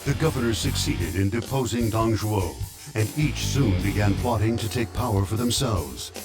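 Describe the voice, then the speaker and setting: An actor as the grave, formal narrator of a historical war epic in a video game. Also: male voice, fairly low pitch, expressive, normal speed. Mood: solemn. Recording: loud music under narration.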